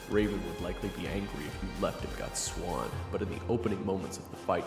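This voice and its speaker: Male story narrator: mid-pitched, gravelly edge, dramatic scripted read over clear music with reverb. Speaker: narrator of an audio roleplay. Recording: echoey reverb, music behind.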